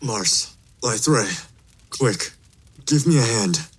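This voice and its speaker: A man playing a grave, protective father in an audio drama, giving hushed, terse commands. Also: fairly low pitch, animated, takes it slowly, a little hiss or hum. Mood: hushed urgency. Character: protective, grave father leading his family to hiding.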